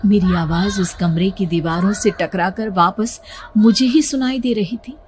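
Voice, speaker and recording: A woman's voice: medium pitch, a little slow. A woman giving a suspenseful, theatrical first-person narration of a scripted horror story.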